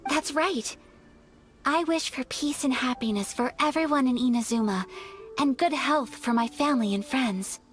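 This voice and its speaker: A woman playing a refined, sincere young noblewoman in a video game, speaking politely and gently. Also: high-pitched, normal speed, close to an everyday voice. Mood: wistful. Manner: polite, soft-spoken, poetic. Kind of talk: video game character acting. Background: faint music.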